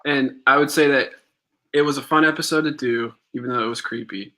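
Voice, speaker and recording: A young man co-hosting a live podcast. He recounts a story loosely, with plenty of "uh"s and pauses. His voice is bright and forward, in a middle pitch. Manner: relaxed, recounts stories with fillers and pauses. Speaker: podcast co-host. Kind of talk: casual live-stream chat and storytelling.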